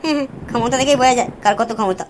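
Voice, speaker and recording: An actress plays a brash cartoon housewife. She is sassy, scornful and money-minded, speaking extremely fast in a high voice on a noisy recording. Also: lightly acted. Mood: scornful demanding. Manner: sassy, scolding. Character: brash, scornful, mercenary cartoon married woman. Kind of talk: comic cartoon dialogue. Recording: noisy with clicks.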